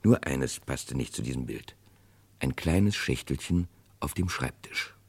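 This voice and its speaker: A man's voice: extremely low pitch, animated, unhurried, vocal fry, darkish tone, slightly rough, dry recording. A man giving dramatic scripted narration for a vintage radio crime play.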